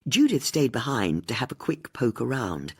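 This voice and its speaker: Husky, expressive woman narrating a mystery. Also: very deep voice, moderate pace. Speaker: audiobook narrator.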